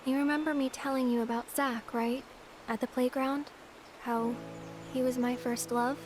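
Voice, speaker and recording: A gentle young game heroine, played by a woman, speaks in a fairly high voice with soft, reflective poise. There is a wistful hesitancy to the delivery, and music plays clearly behind it. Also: fairly even intonation, moderate pace, natural voice. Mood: wistful, regretful. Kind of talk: video game voice acting. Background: game background music.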